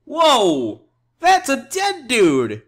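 A man playing a blunt, skeptical, excitable pizza customer in comic roleplay, with an incredulous and theatrical delivery. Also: very high voice, very slow, loud music behind the voice, exaggerated voice. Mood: shocked.

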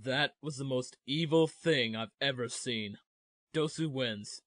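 A man voicing a deadpan, unimpressed referee in a cartoon parody dub. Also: medium pitch, a little slow, close to an everyday voice. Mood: dry, bored. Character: deadpan male exam referee in anime parody.

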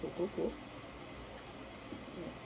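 A woman's voice: slow, heavy background noise. A woman with a stuffy nose from a cold, chatting casually and a bit tiredly while unboxing at a table. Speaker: unboxing vlogger. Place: indoors at a table, filming hands from above.

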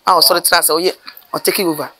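A middle-aged woman with a Ghanaian accent, a mortuary worker telling her stories in an outdoor interview, animated and gesturing as she talks. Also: lowish voice, a little hiss or hum. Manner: animated, gesturing with her hands, rapid bursts of speech. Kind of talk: storytelling interview. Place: outdoors by a building wall.

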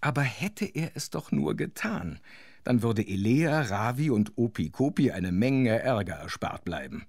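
A man's voice: mid-range voice, big pitch swings, unhurried, vocal fry, a little mellow, no echo, studio quality. A man narrating a scripted children's story, with playful, conspiratorial asides to the listener.

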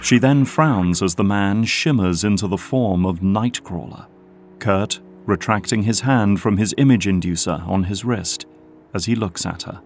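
Low-voiced male drama narrator. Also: unhurried, a little hiss or hum. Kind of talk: scripted audio drama narration.

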